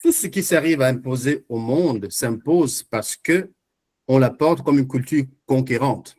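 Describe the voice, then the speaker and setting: A man's voice: medium pitch, unhurried, a little mellow. A man moderating a panel discussion, who thanks the speakers and puts forward his own argument in a sure tone. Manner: fluent, assertive, argumentative.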